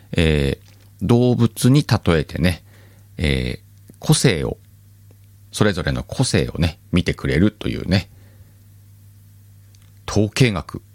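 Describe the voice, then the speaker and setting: A man hosting a podcast in casual chat, with relaxed, chuckling asides. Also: deep voice, no echo. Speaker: podcast host.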